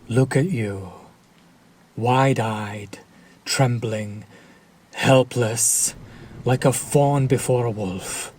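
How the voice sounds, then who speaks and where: A man's voice: medium pitch, darkish tone, slight background noise, natural voice. A man playing a sadistic, possessive, centuries-old vampire lord in an intimate audio roleplay, taunting the listener with silky, formal, theatrical menace. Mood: gloating, possessive.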